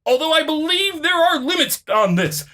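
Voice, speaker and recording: A woman plays an indignant, smug online commenter in a mock dramatic reading. The voice is pitched very high and swoops theatrically, drawing out words with overwrought self-importance. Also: very animated, moderate pace, sharp tone, heavily acted. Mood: sneering. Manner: overwrought, reads punctuation aloud.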